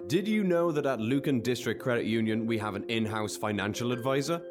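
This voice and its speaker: A man's voice: mid-range voice, brisk, over music. A man reading a scripted radio advert as a voice-over.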